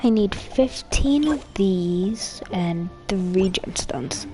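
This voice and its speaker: A woman's voice: mid-range voice, expressive, extremely slow, mellow. A woman chatting casually while she plays a video game, as a content creator. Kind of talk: casual gameplay chat.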